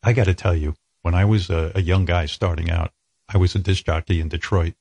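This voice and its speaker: A man's voice: sits low, fairly even intonation, a little fast, creaky, darkish tone, husky, no echo. An older man hosting an interview, asking rambling, self-deprecating questions. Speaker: talk show host.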